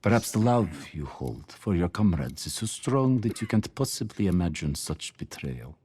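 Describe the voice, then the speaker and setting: An actor playing a polite, insinuating and menacing Soviet security chief in a game cutscene, with husky, silky formality. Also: male voice, sits low, big pitch swings, normal speed, warm tone, exaggerated voice. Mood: silky, probing. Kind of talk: dramatic video game cutscene acting.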